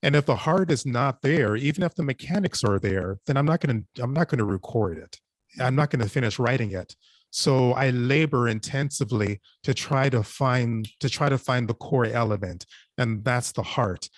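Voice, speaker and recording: A man's voice: mid-range voice, moderate pace, dry recording, clean studio sound. A man speaking earnestly and reflectively on a panel, often repeating and restarting his phrases as he goes. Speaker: podcast writer and panelist.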